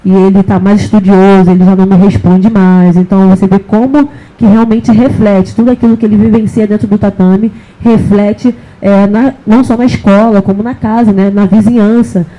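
A woman's voice: mid-range voice, fairly even intonation, normal speed, few pauses, lo-fi sound. A woman who runs a jiu-jitsu project, telling a story on an indoor event stage. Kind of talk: panel discussion.

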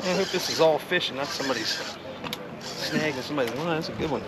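A man's voice: on the high side, fast. Chatty middle-aged man on a windy boat. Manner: upbeat, chatty, narrating his catches to the camera. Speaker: fishing vlogger. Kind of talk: casual fishing commentary. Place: open deck of a party fishing boat at sea. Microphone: action camera on the boat. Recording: loud wind and boat noise.

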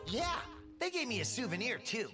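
A cocky young dragon. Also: male voice, extremely high pitch, sing-song, normal speed. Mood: cheeky. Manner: cheeky, wisecracking. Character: cocky young male purple dragon, brash. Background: game music.

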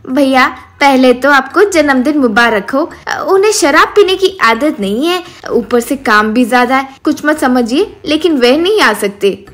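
Woman playing high-voiced, outspoken village wife. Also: expressive, measured pace, few pauses, a bit bright. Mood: firm refusal. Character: aggrieved village tailor's wife, protective, dignified, outspoken. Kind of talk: animated storybook character voice.

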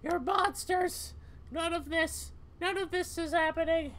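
A man voicing an anxious, easily horrified young woman in a story game, in a squeaky falsetto. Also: fairly even intonation, a little slow, a bit bright, full character voice.

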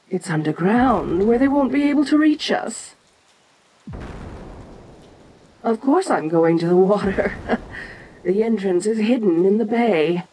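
A woman playing an ancient, domineering giantess kaiju queen in an audio roleplay, imperious and teasing yet protective, speaking with deliberate pauses. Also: medium pitch, darkish tone, slight background noise, poor audio. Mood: commanding, reassuring. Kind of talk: second-person audio roleplay acting.

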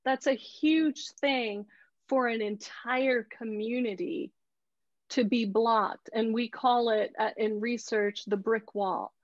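A middle-aged woman with a fairly high voice gives an earnest talk at a slow, deliberate pace. She leans on key words for emphasis. The video-call sound is dry and a bit dull. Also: fairly even intonation, dry recording. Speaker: photographer giving a talk. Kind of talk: earnest presentation and discussion. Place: indoor room on a video call. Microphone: earbuds on a video call.

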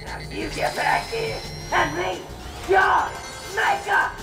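A very high, gravelly cartoon villain, ranting and aggressive. The lines are shouted from far off in an echoey space under loud music. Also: slow, heavily acted. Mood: enraged. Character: furious, foul-mouthed, ranting henchman villain. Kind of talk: comedic fan dub of a video game.

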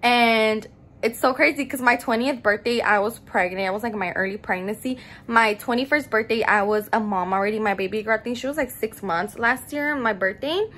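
A woman's voice: a little high, a little fast, a bit bright. A woman in her early twenties chatting to her phone camera in a bubbly way, calling her viewers "y'all". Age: early 20s. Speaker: vlogger. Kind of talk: casual chat to camera. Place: home bedroom, then car and stores. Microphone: phone camera at arm's length.

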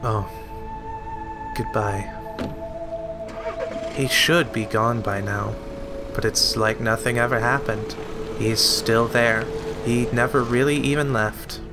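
A man's voice: mid-range voice, steady tone, slow pace, pauses often. An actor playing an earnest, uneasy younger lighthouse assistant who also narrates his memories in an audio drama. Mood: reflective. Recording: music under the voice.

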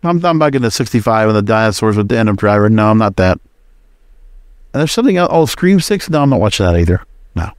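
A man's voice: on the low side, very animated, fast, no echo, clean studio sound. A man hosting a podcast solo with casual, conversational commentary, dropping opinionated asides in short, choppy sentences. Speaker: podcast host.

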